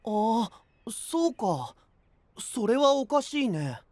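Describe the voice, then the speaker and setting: A man's voice: very high voice, very animated, takes it slowly, a little mellow, dry recording, heavily acted. An actor playing an easygoing, friendly young man with a light, casual manner in an anime audio drama.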